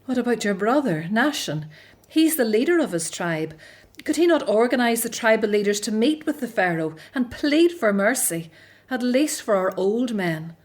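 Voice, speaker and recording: A woman's voice: on the high side, sing-song, moderate pace. An actress in a radio drama playing an outspoken, frustrated Hebrew daughter, earnest and pointed as she frets for her family. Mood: worried.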